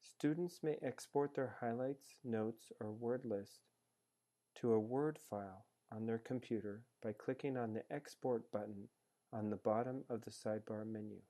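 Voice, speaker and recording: A man reading a scripted software tutorial in a measured, explanatory manner as a voice-over. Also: medium pitch. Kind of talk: scripted instructional narration. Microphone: screencast voice-over.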